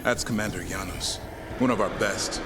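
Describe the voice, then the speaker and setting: An actor playing a grave, resolute warrior in a fantasy video game, speaking in a measured way. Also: male voice, sits low, lightly acted. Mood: somber. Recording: game dialogue over music.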